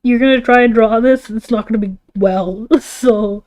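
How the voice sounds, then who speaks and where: A woman's voice: fairly high pitch, normal speed, a little mellow. A tired woman talking casually on a livestream, giving her opinions in an informal, rambling way with some casual swearing. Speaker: livestream host.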